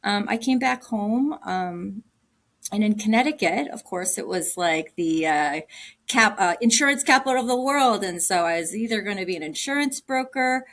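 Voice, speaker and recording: Earnest woman, unscripted and halting. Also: medium pitch, lively intonation. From Cambodia. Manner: warm, earnest, thinking aloud with many ums and restarts. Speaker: guest, agency director. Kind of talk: casual interview answers.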